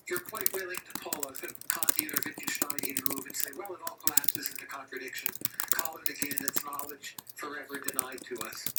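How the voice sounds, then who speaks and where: A man hosting a conversation, posing a long, thoughtful question. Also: on the high side. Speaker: host. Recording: echoey room from far off, loud noise.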